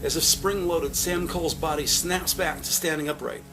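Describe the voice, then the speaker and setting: A man's voice: fairly high pitch, fairly even intonation, moderate pace, music in the background. A man narrating a scripted audio drama with dramatic, present-tense storytelling.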